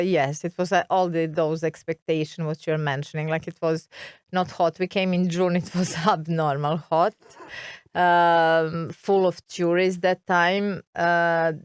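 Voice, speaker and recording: Woman, Serbian accent, middle-aged, unhurried and halting, smooth clear voice, dry close mic. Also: fairly low pitch, dry recording. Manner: hesitant, searching for words with many fillers. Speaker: guest. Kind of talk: interview conversation.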